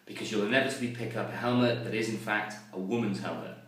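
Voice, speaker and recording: A man's voice: fairly low pitch, fairly even intonation, quick pace, fairly bright tone. A man vlogging casually to the camera, chatty and self-mocking, with plenty of ums and uhs. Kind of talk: casual vlog talk to camera. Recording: very echoey, distant mic, faint noise.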